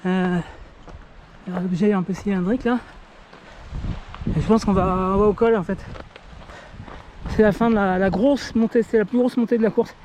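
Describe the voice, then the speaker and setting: Tired high-voiced man vlogging on a trail. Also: fairly even intonation, moderate pace, long pauses, darkish tone, slight background noise. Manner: chatty, tired, many fillers and restarts. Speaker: trail runner filming himself. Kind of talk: casual vlog commentary while running. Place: outdoors on mountain trail.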